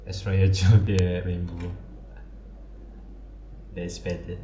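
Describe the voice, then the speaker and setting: Malay-accented guy streaming games, deep mellow voice, casual reactions, echoey room. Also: completely flat delivery, slow pace, a little hiss or hum. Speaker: game streamer. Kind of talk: casual live reaction commentary. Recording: roomy echo, faint music behind.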